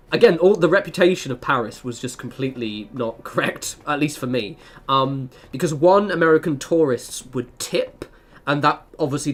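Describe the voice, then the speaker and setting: A young man chatting casually as he streams a game, telling rambling stories and often interrupting himself. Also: medium pitch. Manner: rambling, animated storytelling with self-interruptions. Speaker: game livestreamer. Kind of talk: casual livestream chat over gameplay.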